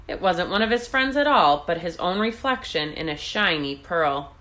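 A woman reading a children's storybook aloud in an expressive, deliberate way. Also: fairly low pitch, poor audio. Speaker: read-aloud host.

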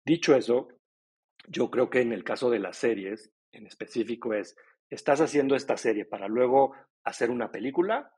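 A man's voice: mid-range voice, normal speed, darkish tone, breathy edge. A middle-aged man, a company co-founder answering interview questions in a fluent, explanatory way. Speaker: podcast company co-founder, guest. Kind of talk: casual interview talk.

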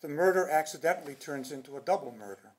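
An older man with a soft voice reading a prepared paper aloud at a conference podium. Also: fairly high pitch, fast. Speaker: conference speaker. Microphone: podium microphone.

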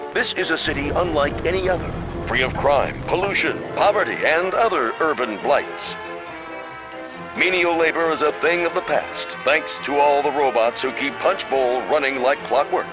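An actor playing a proud, upbeat 1950s American newsreel announcer with a grandiose, emphatic delivery. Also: male voice, a little high, lightly acted. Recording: music behind the voice.

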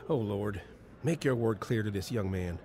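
An actor playing an earnest, folksy jailhouse preacher in a radio drama. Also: male voice, fairly low pitch, normal speed, background noise, natural voice. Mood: prayerful.

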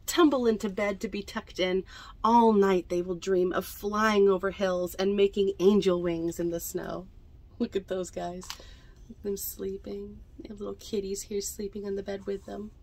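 A young woman reads picture books aloud to children in an unhurried storytelling manner, adding chatty asides about the pictures. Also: medium pitch, fairly even intonation, a little mellow. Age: young adult. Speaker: library storytime reader. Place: indoors among bookshelves in a small library bookmobile.

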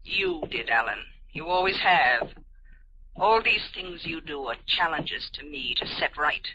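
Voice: mid-range voice, animated, measured pace, bright, forceful tone, slight background noise. A performer playing a stern, possessive, scolding telepath in a 1950s radio drama. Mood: stern, accusing. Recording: dull vintage radio, music behind.

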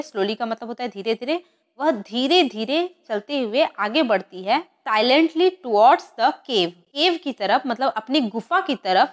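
A woman with an Indian accent teaching an English lesson, reading sentences slowly word by word and explaining them repetitively. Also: a little high. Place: voice-over to an on-screen text lesson.

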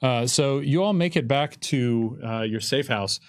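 A man in his thirties acting as game master, narrating a tabletop roleplaying game in a casual, halting way. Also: medium pitch. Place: indoor streaming studio with curtain backdrop. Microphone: desk microphone.

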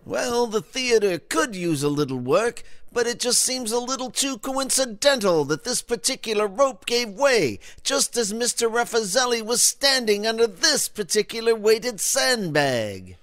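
An actor playing an earnest, inquisitive detective who also narrates a comedy audio drama. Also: male voice, extremely high pitch, animated, a little slow, few pauses, a bit bright, no room sound, exaggerated voice. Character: earnest, inquisitive male private-eye sleuth and narrator.

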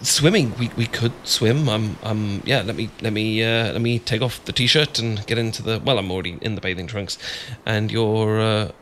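A man's voice: fairly low pitch, steady tone, moderate pace, hardly any pauses, a bit bright, a little hiss or hum, natural voice. A man with a British accent acting an awkward, easily flustered, bashful friend in a romantic ASMR audio roleplay, stammering and rambling as he goes. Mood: awkward, hesitant.

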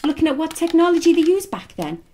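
Feisty, very animated archaeologist. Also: female voice, very high-pitched, fast talker, slight background noise. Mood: matter-of-fact. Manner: feisty, teasing, matter-of-fact. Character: plucky, practical, sharp-tongued female archaeologist. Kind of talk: audio drama acting.

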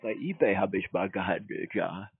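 A man in a phone conversation, talking in a deadpan way and playing naive. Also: sits low, moderate pace, slightly rough, no room sound. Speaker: prank call recipient stringing along a scammer. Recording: muffled narrowband phone audio.

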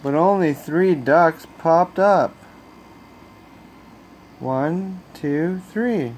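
A man reading a children's bedtime story aloud as a storyteller, with expressive, deliberate phrasing, recorded on a handheld phone at home. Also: fairly high pitch, a little hiss or hum. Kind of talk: bedtime picture-book read-aloud. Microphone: handheld phone camera.

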